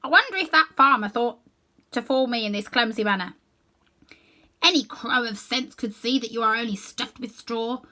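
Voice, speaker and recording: A woman's voice: on the high side, normal speed, bright, forceful tone. A woman voicing a shrewd old male crow in a children's storybook, blunt, knowing and emphatic. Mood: scornful.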